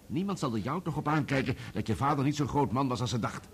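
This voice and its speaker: An actor playing a cool, self-assured newspaper journalist in a Dutch radio play, firm and matter-of-fact. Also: male voice, medium pitch, animated, fast, breathy edge.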